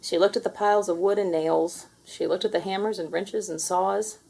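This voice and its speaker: A woman reading a children's story aloud in an expressive, deliberate storytelling manner. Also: mid-range voice, creaky, slightly rough. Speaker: storybook reader. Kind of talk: children's read-aloud narration with character voices.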